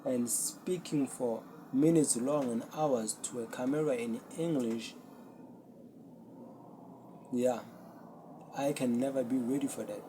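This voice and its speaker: A young man, a Zulu speaker, hosting his own podcast and talking earnestly and reflectively to a camera with many pauses. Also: mid-range voice, fairly even intonation, background noise. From South Africa. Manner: slow, earnest, self-searching, with pauses. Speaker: podcast host. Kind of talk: solo reflective talk to camera.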